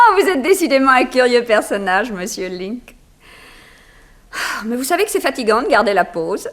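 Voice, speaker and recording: An actress playing a coquettish young artist's model in peril in a 1960s French radio serial, theatrical and emotive. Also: female voice, a little high, big pitch swings, a little slow, pauses often. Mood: playful.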